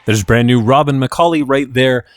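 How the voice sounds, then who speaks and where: A man's voice: mid-range voice, moderate pace. A man hosting a music radio show, introducing and interviewing a guest on a close mic.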